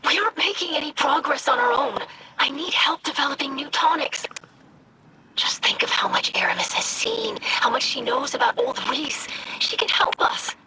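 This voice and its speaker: A woman's voice: sits high, sing-song, moderate pace, in a whisper, poor audio. An actress playing an anxious, earnest young female alien scientist in a video game, speaking formally with pleading intensity. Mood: urgent pleading. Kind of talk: video game character acting.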